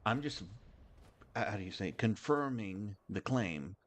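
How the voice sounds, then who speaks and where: Low-voiced man hosting a game stream. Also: expressive. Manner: halting and rambling, many restarts. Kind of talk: casual livestream chat.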